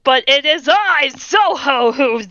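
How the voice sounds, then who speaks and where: A woman's voice: high voice, animated, slow pace, bright, forceful tone, full character voice. A woman voicing a boastful, grandiose female lightning caster in a tabletop game, on slightly muffled audio. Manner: grandiose, self-important.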